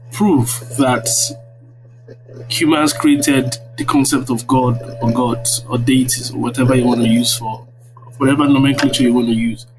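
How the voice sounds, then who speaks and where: A man in his thirties with a Nigerian accent, answering interview questions like a lecturer, phrasing hesitantly and checking with tags. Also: mid-range voice. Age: 30s. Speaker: guest, university lecturer. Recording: very noisy online audio-room connection.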